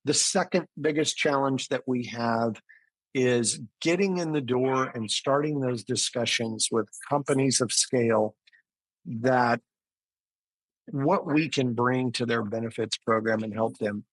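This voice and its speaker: A man's voice: mid-range voice, unhurried, no echo, low-quality recording. A man giving explanatory, conversational answers as an interview guest, often restarting his phrases. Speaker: interview guest, physician and business founder.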